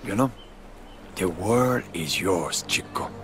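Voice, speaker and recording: An actor playing a vengeful, foul-mouthed Cuban drug lord with a Cuban accent in a video game. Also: male voice, low voice, lively intonation, slow. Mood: swaggering. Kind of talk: video game cutscene acting. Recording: music behind the voice.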